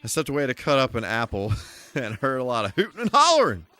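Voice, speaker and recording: A man's voice: medium pitch, huge pitch swings, quick pace, bright, forceful tone. A man giving casual live commentary while playing a video game, full of mild folksy exclamations and mock-exasperated asides. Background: music.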